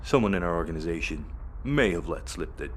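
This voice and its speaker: Oily space villain, very low pompous voice, florid and cowardly, sci-fi drama. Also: male voice, lively intonation, fairly quick, slight background noise. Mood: nervous, grovelling. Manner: florid, stammering when nervous. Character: pompous male villain, oily braggart, nervously grovelling. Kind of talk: sci-fi audio drama acting.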